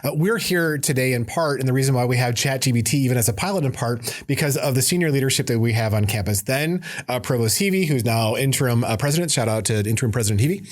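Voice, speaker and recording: A man's voice: medium pitch, animated, fast, hardly any pauses. A middle-aged man co-hosting a casual podcast, talking earnestly and with self-deprecating humor, often restarting his sentences. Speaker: podcast co-host. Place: studio.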